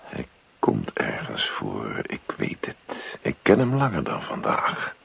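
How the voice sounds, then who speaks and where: A man's voice: a bass voice, huge pitch swings, slow pace, gravelly, a bit bright, slightly rough, heavily acted. An actor playing a grumpy, put-upon middle-aged man in a radio play, grumbling and muttering reluctant asides about his neighbour. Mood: muttering, resigned. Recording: dull lo-fi radio play recording.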